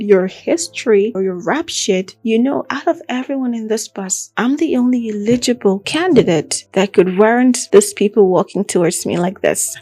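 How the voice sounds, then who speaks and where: A woman with a Nigerian accent hosting a true crime podcast, telling the story casually and chattily, with animated asides. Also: medium pitch, dry recording. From Akwa Ibom, southern Nigeria.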